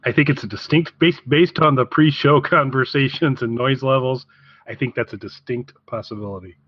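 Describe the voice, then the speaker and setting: A woman's voice: very deep voice, moderate pace, breathy edge, muffled recording. A woman co-hosting a podcast in casual conversation. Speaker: podcast co-host. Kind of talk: casual chat.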